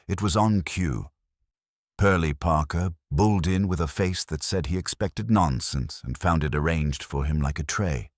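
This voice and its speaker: Man, very deep voice, first-person crime story narration, animated melody, dry recording. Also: dry recording. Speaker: audiobook narrator.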